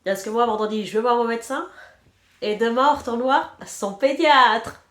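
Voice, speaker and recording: A woman's voice: fairly high pitch, moderate pace, a bit bright, echoey room. A woman in her thirties with a stuffy nose from a cold, chatting casually and self-mockingly as she knits at home. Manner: chatty and self-mocking, trails off counting stitches. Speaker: knitting podcast host. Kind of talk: casual chat while knitting.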